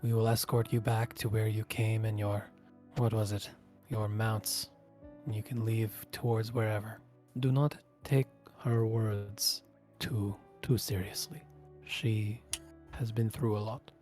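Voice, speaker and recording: A man's voice: lowish voice, level tone, a little slow, a little mellow, faint music behind, close to an everyday voice. Man voicing a solemn, weary immortal guardian. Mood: gentle, formal.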